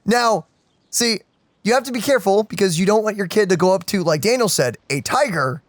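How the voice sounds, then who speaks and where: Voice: mid-range voice, lively intonation, a little fast, dry recording, studio quality. A let's play commentator chatting casually and playfully, rambling informally while reacting to a game. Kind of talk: casual gameplay reaction chat. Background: faint game music.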